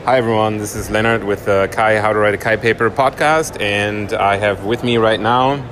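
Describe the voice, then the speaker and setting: A man's voice: fairly low pitch, steady tone, normal speed, noisy background. A man hosting a podcast, asking short, upbeat interview questions. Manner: brief, upbeat prompts. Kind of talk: casual interview.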